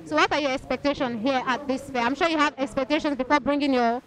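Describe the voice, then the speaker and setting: A woman's voice: high-pitched, fairly even intonation, fast talker, poor audio. A young woman with a Nigerian accent interviewing people on a handheld mic at a fair. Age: young adult. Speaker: interviewer, reporter. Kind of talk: vox-pop interview at a fair. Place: outdoor market fair under tents. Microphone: handheld reporter microphone. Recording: busy outdoor crowd noise.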